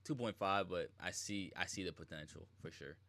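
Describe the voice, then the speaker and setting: Dude on a game stream just reacting, slangy casual talk, kinda low voice. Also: male voice, moderate pace. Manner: slangy, informal. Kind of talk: casual live reaction commentary.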